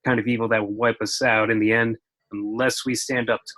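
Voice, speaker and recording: A man's voice: lowish voice, flat delivery, a little fast, a bit bright, natural voice. A man reading the part of an earnest, righteous action hero at a script table read.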